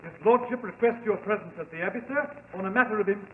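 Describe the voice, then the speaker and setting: An actor playing a nervous, respectful coachman in a 1940s radio drama, hurried and deferential. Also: high voice, lightly acted. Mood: deferential, anxious. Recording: tinny, hissy old radio recording.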